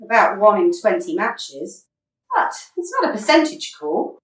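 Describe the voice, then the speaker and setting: A woman's voice: mid-range voice, big pitch swings, a little slow. A woman acting a blunt, exasperated female football manager in a radio play, scolding and emphatic. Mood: critical, sardonic. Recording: roomy, distant microphone.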